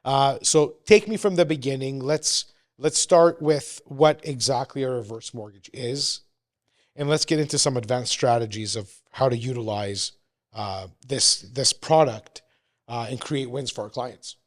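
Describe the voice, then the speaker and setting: A man in his forties from Canada hosting a casual podcast interview, asking lively questions and often repeating himself. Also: mid-range voice, a little slow. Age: 40s. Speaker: podcast host.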